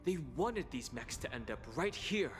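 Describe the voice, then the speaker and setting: A man's voice: a little high, very animated, normal speed. A man voicing a serious, analytical investigator in a video game. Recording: game music behind.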